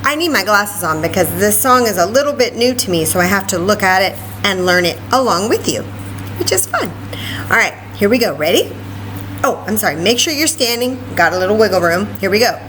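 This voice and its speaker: A woman's voice: medium pitch, big pitch swings, fairly quick, a bit bright, slight background noise, poor audio. A woman hosting story time for young children, chatty and encouraging, talking to them directly with frequent asides. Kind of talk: sing-song story time for young children, singing, read-aloud and chatting.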